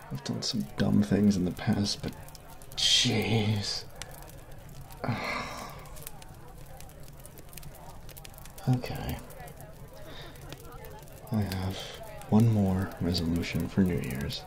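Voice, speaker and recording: Low-pitched, slow-spoken goofy college jock, earnest and dark-toned, close-miked. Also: male voice, gravelly, heavily acted. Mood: flustered. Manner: earnest, flustered, apologetic. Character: sweet goofy male college jock, best friend. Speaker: voice actor. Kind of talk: ASMR roleplay. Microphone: close binaural mic.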